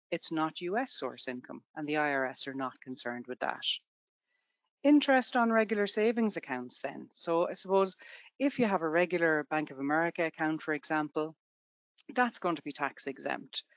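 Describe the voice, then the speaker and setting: A woman's voice: sits low, dry recording, muffled recording. A woman from Ireland with an Irish accent, presenting a tax webinar to students in a conversational way with everyday examples.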